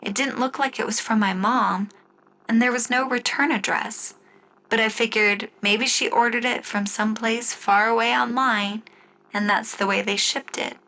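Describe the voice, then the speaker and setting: A woman narrating a scripted first-person story in the style of an old-time radio show, with deliberate storytelling phrasing. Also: on the high side, fairly even intonation, bright, forceful tone, rough recording. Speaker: writer and narrator of an audio story.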